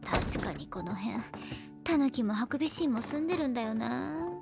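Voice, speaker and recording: A woman voicing a grumpy, sharp-tongued scientist in a Japanese audio drama, with blunt, rough scolding retorts. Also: fairly high pitch, animated, moderate pace, over music. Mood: grudging, calmer.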